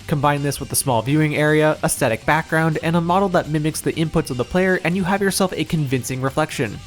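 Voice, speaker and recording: Guy doing a scripted game review, kinda high voice, wry and sweary, some music behind him. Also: fast talker. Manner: opinionated, wry, casually profane.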